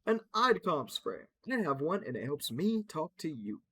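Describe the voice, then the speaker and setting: A man voicing a cheerful, eager robot in a very high, chirpy voice. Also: sing-song, unhurried, sharp tone, husky, dry recording, exaggerated voice.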